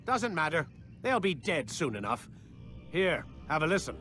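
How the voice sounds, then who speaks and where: An actor playing a cold, domineering scientist villain in a video game, curt and sneering. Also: male voice, fairly high pitch, sing-song, moderate pace, bright, forceful tone, soft background music. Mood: irritated, menacing. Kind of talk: dramatic video game acting.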